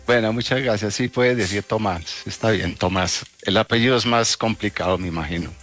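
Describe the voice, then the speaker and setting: A middle-aged man from the Netherlands, a native Dutch speaker, answering interview questions conversationally with halting, hesitant phrasing. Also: fairly low pitch, a bit bright, faint music behind. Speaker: interview guest, travel agency owner.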